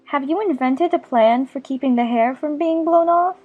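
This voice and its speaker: A woman's voice: high-pitched, normal speed, narrow, tinny audio, faint music behind, natural voice. A woman playing a polite, curious young girl in a dramatized audiobook, speaking carefully and inquisitively. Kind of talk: dramatized audiobook character acting.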